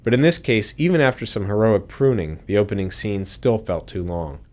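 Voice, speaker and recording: Man, fairly low pitch, game developer, scripted explanatory commentary. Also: moderate pace, a little mellow.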